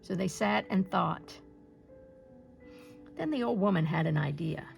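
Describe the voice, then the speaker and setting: A woman storyteller reading a picture-book folktale aloud in an expressive way. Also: fairly low pitch, unhurried, creaky, faint music behind.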